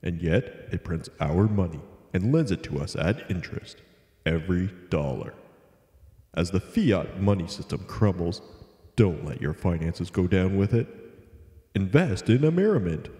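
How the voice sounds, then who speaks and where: Actor as grave male ad announcer, deep dark voice, long echo. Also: animated, measured pace. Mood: grave, urgent. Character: grave male advertising announcer for a radio spot. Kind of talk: scripted ad read. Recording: echoey voice with long reverb.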